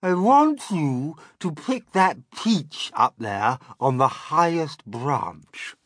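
Guy as a greedy bossy auntie from a kids book, slow scoffing bluster, voice all over the place. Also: fairly high pitch, very animated, fairly bright tone, no echo, clean studio sound, voice pushed up, exaggerated voice. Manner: blustering, exclamatory. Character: greedy, scoffing, bossy middle-aged aunt, a woman. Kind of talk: dramatic children's storybook character reading.

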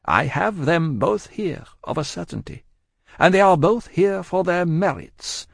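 A man voicing a cold, composed French tavern-keeper's wife in an audiobook, speaking in measured, curt phrases. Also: high-pitched, expressive, creaky, slightly rough, dry recording, studio quality, pitched above the performer's own voice, full character voice. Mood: grimly composed.

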